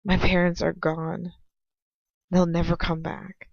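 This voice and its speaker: A woman reads fan fiction aloud in the first person as an amateur narrator, halting now and then to reread a phrase. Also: lowish voice, level tone, creaky, no room sound. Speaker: amateur audiobook reader. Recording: dull lo-fi home recording.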